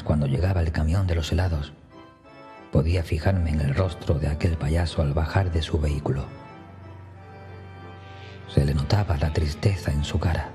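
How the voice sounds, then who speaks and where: A man narrating a scripted first-person horror story in a hushed, breathy manner that often nears a whisper, with music behind him. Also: very deep voice. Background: background music.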